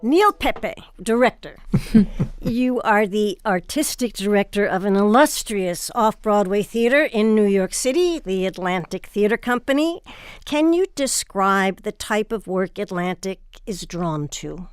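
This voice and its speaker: A woman's voice: mid-range voice, lively intonation, unhurried, clean tone. A woman hosting a theater program, giving scripted introductions and interview questions. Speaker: theater podcast host and interviewer.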